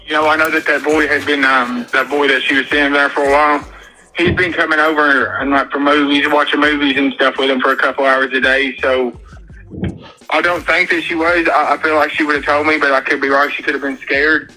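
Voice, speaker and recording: Hesitant father on a bright phone line. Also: on the high side, fairly even intonation. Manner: hesitant, halting, restarts often. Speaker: missing girl's father. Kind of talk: phone call on a live stream.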